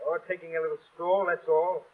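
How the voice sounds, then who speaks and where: An actor playing a tough, jumpy small-time crook, with gruff tough-guy slang in an old radio drama. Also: a little high, flat delivery, brisk, lightly acted. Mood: wary, tough. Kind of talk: 1930s-style radio drama acting. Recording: tinny old radio broadcast.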